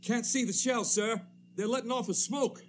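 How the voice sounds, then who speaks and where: An actor playing an anxious artillery observer, giving halting reports to his officer in radio drama style. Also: very high-pitched, music in the background. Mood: tense, alarmed. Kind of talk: 1930s radio drama acting.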